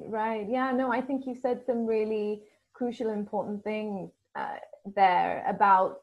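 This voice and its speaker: Woman host on a dull lo-fi recording. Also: mid-range voice. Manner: reflective, summarizing the guest's points. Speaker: interviewer, host. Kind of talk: interview questions.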